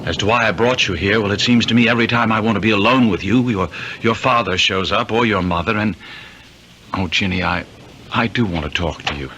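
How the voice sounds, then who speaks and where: Ardent young suitor, radio play: lower-pitched, forceful, urgent and earnest. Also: male voice, brisk. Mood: earnest, eager. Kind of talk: 1970s radio drama acting. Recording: faintly noisy old radio broadcast.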